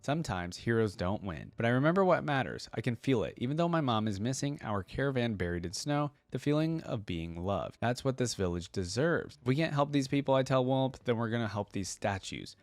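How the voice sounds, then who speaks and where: A man's voice: medium pitch, lively intonation, a little fast, few pauses, no echo, faint music behind. A young adult man hosting a reaction video, reading a story aloud and adding casual, informal commentary.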